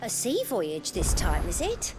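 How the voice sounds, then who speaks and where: An actress playing a practical, helpful woman in a fantasy game, with loud music behind her. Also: lowish voice, huge pitch swings, measured pace. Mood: casual, offering. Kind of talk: scripted fantasy game dialogue.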